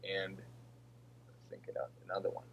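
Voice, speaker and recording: A man's voice: sits low, moderate pace, creaky, a bit bright. A man in his early twenties from Russia who speaks with an American accent, answering interview questions casually and hesitantly, thinking as he goes. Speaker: interviewee, political science master's student. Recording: dull lo-fi sound with faint hum.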